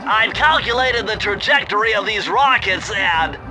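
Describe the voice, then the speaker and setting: An actor voicing a brainy, fussy young animal sidekick in a video game, nasal, with precise, bookish wording. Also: male voice, sits high, expressive, moderate pace, over music. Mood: matter-of-fact briefing.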